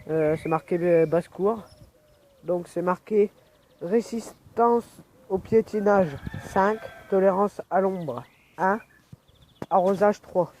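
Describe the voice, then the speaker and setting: High-voiced young man with a cold. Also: slow, darkish tone, a little hiss or hum. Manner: rambling, many fillers. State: has a cold. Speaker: vlogger. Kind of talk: casual outdoor vlog. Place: outdoors in a rural yard. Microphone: phone camera.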